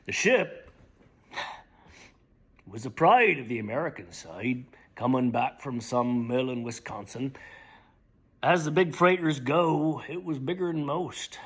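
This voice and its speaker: A middle-aged man giving a slow, theatrical reading of lyrics, often dropping to a hushed whisper. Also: mid-range voice, expressive, slightly rough. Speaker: dramatic reader. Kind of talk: dramatic spoken reading of song lyrics.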